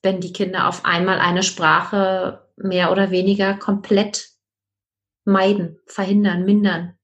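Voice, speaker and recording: A woman's voice: medium pitch, flat delivery, measured pace, highs cut off. Woman interviewer asking long questions. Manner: asks long, searching questions and lists several words for the same idea.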